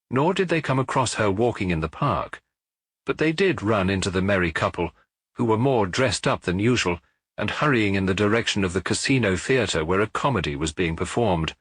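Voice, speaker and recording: A man reading a detective novel aloud in a measured, expressive storytelling manner on a close studio mic. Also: on the low side, slightly rough, no room sound. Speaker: audiobook reader. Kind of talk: scripted narration of a detective novel.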